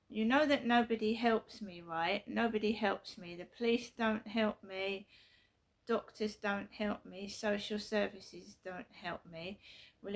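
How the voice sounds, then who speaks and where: Gravelly middle-aged woman. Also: medium pitch. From South London, England. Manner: repetitive, emphatic, earnest storytelling. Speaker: vlogger. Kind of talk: casual personal vlog. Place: her flat at night.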